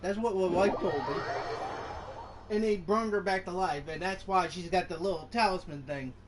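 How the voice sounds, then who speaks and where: A man's voice: high voice, moderate pace. A man giving casual, playful gameplay commentary with sing-song exclamations. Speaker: gaming streamer. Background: game music.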